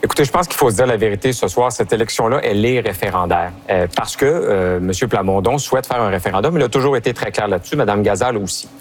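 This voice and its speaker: A man's voice: lowish voice, fast talker, few pauses, a little hiss or hum. A young man with a Quebec French accent arguing his position in a political debate, firm and driving his points home through repetition. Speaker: party leader in a debate. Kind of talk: debate argument.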